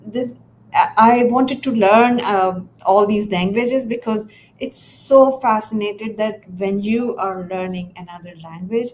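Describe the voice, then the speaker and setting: A woman whose first language is Urdu, hosting a casual interview and talking in a halting way with many fillers and restarts. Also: mid-range voice, a little mellow, slight background noise, low-quality recording. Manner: hesitant, many fillers and restarts, laughs often. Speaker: podcast host.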